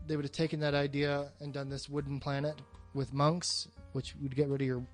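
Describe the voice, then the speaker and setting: A man's voice: on the high side, flat delivery, a little fast, faint music behind. A man chatting casually about film as a podcast co-host, blunt and freely swearing.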